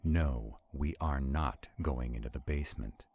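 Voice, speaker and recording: A man's voice: very deep voice, a little slow, warm tone, heavily acted. A man playing an arrogant, skeptical and protective vampire in a roleplay audio drama, haughty and commanding. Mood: tense, reluctant. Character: arrogant, skeptical, protective male vampire, irritable under strain.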